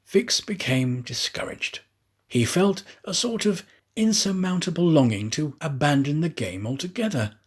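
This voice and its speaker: A man's voice: a little high, moderate pace, husky. A man reading a classic adventure novel aloud as dramatic, theatrical storybook narration. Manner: theatrical, expressive. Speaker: audiobook reader, actor.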